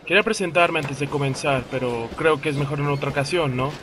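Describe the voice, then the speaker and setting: A man's voice: a little high, brisk, close to an everyday voice. Man as young male federal agent, courteous, tentative, Latin American accent, game dub, busy crowd behind. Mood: polite, reserved. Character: polite young male FBI agent, newly arrived. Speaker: voice actor in a game fan dub. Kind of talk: dramatic dubbed dialogue.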